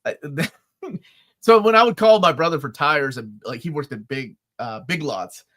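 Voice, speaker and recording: A man's voice: fairly high pitch, unhurried. A man from Peru and the United States with a light Midwestern accent, hosting a casual live-stream chat and telling stories with laughter. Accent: light Midwestern American. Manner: excitable, laughing, animated storyteller. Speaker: host.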